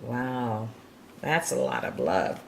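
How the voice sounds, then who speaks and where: A woman's voice: extremely low pitch, very animated, slow pace, vocal fry, slight background noise. A woman reading a picture book aloud to young children, with chatty, encouraging asides and chuckles over the pictures. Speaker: children's storytime reader.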